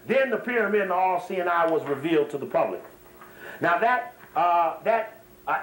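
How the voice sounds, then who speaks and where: A man's voice: sits high, a bit bright. A man giving an extemporaneous lecture to a live audience, speaking in an emphatic, repetitive, digressive manner. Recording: echoey room, slightly distant microphone.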